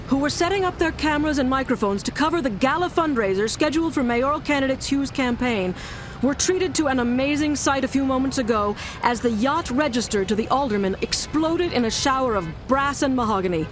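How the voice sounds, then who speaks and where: An actress playing an earnest female TV news reporter, speaking formally and seriously as in a live broadcast. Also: high voice. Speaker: field reporter. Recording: faint outdoor background noise.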